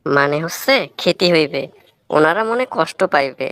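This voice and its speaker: A woman's voice: low-pitched, lively intonation, normal speed, fairly bright tone, no echo. A woman voicing an earnest, talkative young village student in a cartoon comedy, speaking in a rustic Barisal accent with blunt, moralizing manners. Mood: admonishing. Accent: Barisal Bangla. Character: earnest, plain-spoken young village man, scholarship student.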